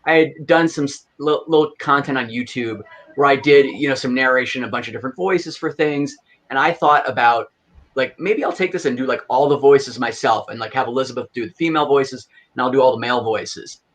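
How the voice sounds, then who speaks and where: A man's voice: mid-range voice, a little fast, fairly bright tone. A man guest on a podcast, an audio drama creator, talking in a rambling, easygoing and self-amused way. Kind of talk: casual podcast chat.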